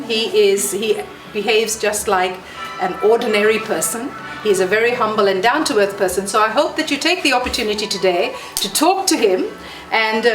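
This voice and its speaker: A middle-aged woman, an ambassador, giving a formal welcome speech at an indoor reception. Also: on the high side. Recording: echoey room, distant mic.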